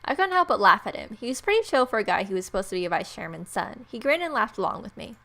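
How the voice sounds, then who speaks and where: A woman's voice: medium pitch, big pitch swings, fast talker, vocal fry, fairly bright tone. Woman reading a visual novel aloud. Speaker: video game let's player.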